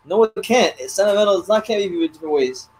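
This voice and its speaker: A man hosting a casual chat, teasing his guests in quick back-and-forth. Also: high-pitched, animated. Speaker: host.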